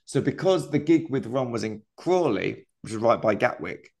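A male comedian co-hosting a podcast, spinning a wandering anecdote in relaxed conversation. He laughs often as he goes and keeps circling back on his own story, in an everyday middle-pitched voice. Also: moderate pace, creaky, breathy edge. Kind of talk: casual chat.

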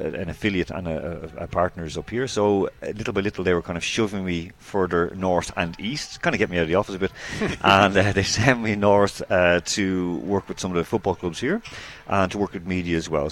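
A man with a very low voice chats as a guest on a talk show. He rambles loosely, fills pauses and corrects himself mid-sentence. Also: fairly bright tone. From Ireland. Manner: rambling, self-correcting, many fillers. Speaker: guest broadcaster. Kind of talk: casual interview chat.

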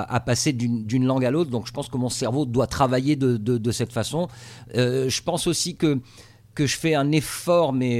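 A man's voice: medium pitch, darkish tone, no echo. A middle-aged man from Tunisia, a TV journalist, answering interview questions in a casual, hesitant way full of fillers and restarts. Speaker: TV journalist based in the US.

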